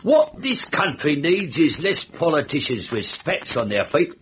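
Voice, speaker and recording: An actor playing an opinionated working-class lodger in a radio drama, blunt and argumentative, with a British accent. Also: male voice, on the high side, wildly swooping pitch, a little slow, a bit bright, full character voice. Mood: indignant. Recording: dull, noisy old radio.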